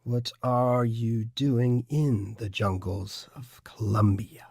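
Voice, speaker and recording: A man playing a cold, formal villainous interrogator, slow and deliberate in an audiobook drama. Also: mid-range voice, steady tone, creaky, darkish tone, breathy edge, dry recording, clean studio sound, exaggerated voice. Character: menacing male Illuminati interrogator, formal and cold. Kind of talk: full-cast audiobook acting.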